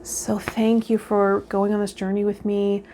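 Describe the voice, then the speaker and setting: A woman hosting a vlog as a tarot reader, talking to viewers in a gentle, reflective way and offering spiritual guidance. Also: medium pitch, completely flat delivery, unhurried, over music, low-quality recording. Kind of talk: casual spiritual guidance talk to viewers, partly read out.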